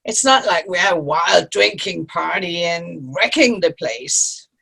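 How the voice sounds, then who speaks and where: A woman plays a sarcastic, exasperated older lady in a stage comedy. She needles dryly and slowly, her pitch swinging widely. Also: expressive, gravelly, sharp tone, slightly rough, exaggerated voice. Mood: coaxing. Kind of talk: play reading. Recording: video call play reading.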